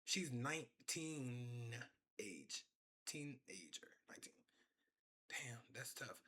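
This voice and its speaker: A man's voice: medium pitch, lively intonation, slow, sharp tone. A man in his twenties chatting casually on a live stream, rambling and repeating himself as he talks to his chat from his room. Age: 20s.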